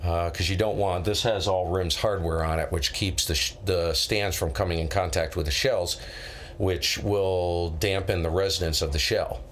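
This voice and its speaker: Low-pitched middle-aged American man chatting casually. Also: fairly even intonation, moderate pace, a little hiss or hum. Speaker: live stream host. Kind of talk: casual chat, rambling anecdotes.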